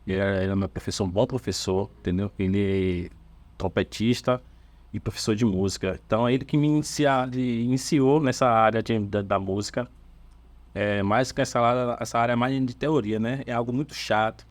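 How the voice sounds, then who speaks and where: A man from Salvador with a Bahian accent, a church band's bassist, chatting casually on a podcast in an easygoing, halting storytelling way with fillers and restarts. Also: no echo. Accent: Bahian Portuguese. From Salvador, Brazil. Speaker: bassist of a church worship band, podcast guest.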